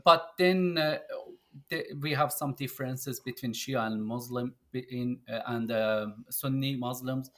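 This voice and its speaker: A middle-aged man from Iran, a Turkish speaker, answering interview questions in halting English with many repeated "yeah"s. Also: mid-range voice, a bit bright. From Tabriz, northwestern Iran. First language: Azeri Turkish. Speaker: guest.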